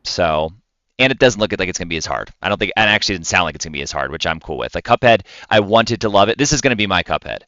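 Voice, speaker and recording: Deep-voiced man, bright and clear. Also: animated, rapid-fire, no room sound, dull audio. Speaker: podcast co-host. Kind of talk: casual gaming chat.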